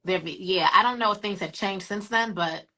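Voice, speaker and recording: Bright-voiced American woman, casual interviewer. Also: mid-range voice, normal speed. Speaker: host, interviewer. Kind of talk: casual chat.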